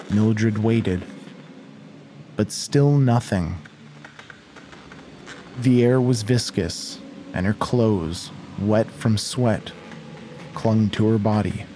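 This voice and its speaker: Slow-paced male horror narrator. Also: medium pitch, a little mellow, slight background noise. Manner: measured, dramatic, literary delivery. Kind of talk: scripted horror story narration.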